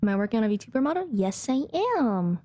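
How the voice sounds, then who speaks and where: A woman's voice: medium pitch, expressive, quick pace, dark tone. A woman giving casual, chatty live commentary as a game streamer, with playful asides. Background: faint game music.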